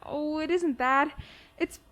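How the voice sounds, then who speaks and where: A woman's voice: sits high, takes it slowly, a bit bright. An actress playing an anxious, doting mother of a grown son in a radio play, speaking in a fretful, pleading, hesitant way. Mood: worried, tender.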